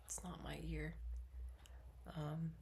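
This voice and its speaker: A woman in her twenties whispering in a soft, rambling ASMR monologue, full of fillers. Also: low-pitched, monotone, slow, gravelly. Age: 20s. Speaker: ASMR creator. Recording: faint background noise.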